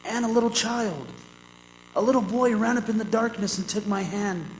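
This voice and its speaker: A man's voice: high-pitched, a little hiss or hum, close to an everyday voice. An actor playing a desperate, haunted condemned man, theatrical and solemn in old-time radio drama style. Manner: theatrical, solemn, drawn-out phrasing. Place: performing arts center stage, live performance. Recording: echoey hall with music behind.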